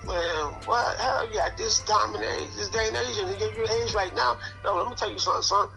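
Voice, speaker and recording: A man's voice: sits high, normal speed, gravelly, music in the background, rough recording. A man in his late fifties telling prison stories in a casual, streetwise way, ending almost every phrase with "man" or "son". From Connecticut. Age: 50s. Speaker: interview guest.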